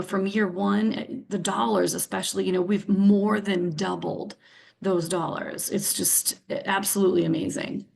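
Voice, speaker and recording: A woman's voice: mid-range voice, creaky. Scratchy-voiced woman presenting a webinar. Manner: upbeat and encouraging, with frequent fillers. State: scratchy voice from sinus trouble. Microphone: video call.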